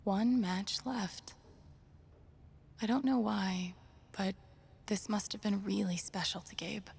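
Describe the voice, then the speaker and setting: Creaky, musing young woman. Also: fairly even intonation, slow, darkish tone, a little hiss or hum. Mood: wistful, reflective. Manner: low-key, musing to herself. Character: young woman game protagonist, wry, wistful, quietly grieving. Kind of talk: naturalistic video game voice acting, inner monologue.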